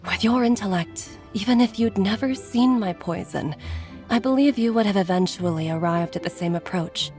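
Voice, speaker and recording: An actress playing a weary mother and doctor in a video game, speaking earnestly and softly, with sighs. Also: medium pitch, moderate pace, soft background music, lightly acted. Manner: earnest, soft, sighing. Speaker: game character.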